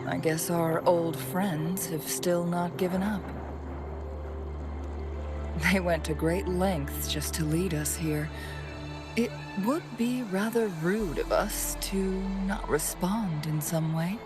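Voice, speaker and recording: A woman voicing a composed, shrewd strategist and devoted student in a video game, speaking in a measured, courteous way. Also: fairly low pitch, music in the background. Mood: composed, knowing. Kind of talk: video game character dialogue.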